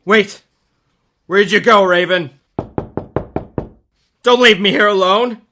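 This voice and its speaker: A man's voice: sits high, slow pace, slight background noise, full character voice. An actor playing a panicked male criminal in a radio mystery, pleading frantically. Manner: frantic, shouting.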